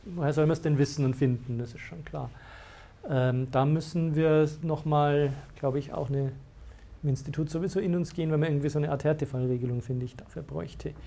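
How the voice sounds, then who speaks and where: A man's voice: on the high side, steady tone, brisk, gravelly, mellow. A man, a university lecturer, explaining study rules to students in a lecture room, with many fillers and restarts. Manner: hesitant, many fillers and restarts, laughs at times.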